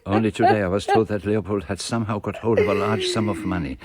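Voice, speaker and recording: A man's voice: lowish voice, fairly even intonation, quick pace, background noise, close to an everyday voice. A man acting in a radio drama as a courteous, formal detective who speaks politely and precisely. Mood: probing. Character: courteous, formal, probing male private detective.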